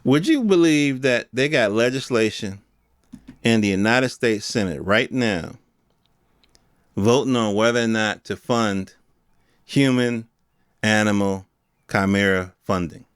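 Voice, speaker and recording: A man's voice: on the low side, measured pace, pauses often, clean tone, no echo, clean studio sound. A man hosting a podcast, giving a casual, unscripted opinion rant in informal, slangy speech with some swearing and questions thrown at his listeners. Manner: rhetorical questions addressed to listeners. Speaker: podcast host.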